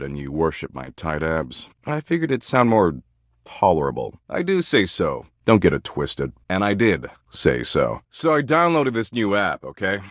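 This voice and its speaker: Existential dread itself talks like a too-cool slacker in this video game. The voice sits low yet swings widely in pitch, dripping with smug, sardonic dismissal. It is recorded close and dry, every slangy put-down right up front. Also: male voice, dry recording, exaggerated voice. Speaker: voice actor in a dating simulator game. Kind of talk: video game character dialogue.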